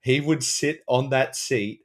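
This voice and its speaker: Aussie guy on a comedy podcast panel, blokey and irreverent, normal pitch. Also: takes it slowly. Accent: Australian. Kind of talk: comic anecdote telling.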